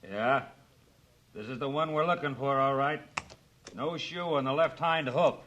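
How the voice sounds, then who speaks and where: Actor as a plainspoken American western deputy, noisy recording. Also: male voice, medium pitch, measured pace, fairly bright tone, lo-fi sound, close to an everyday voice. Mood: matter-of-fact. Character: plainspoken male western rancher and part-time deputy. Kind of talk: 1950s western radio acting. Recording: noisy old radio recording.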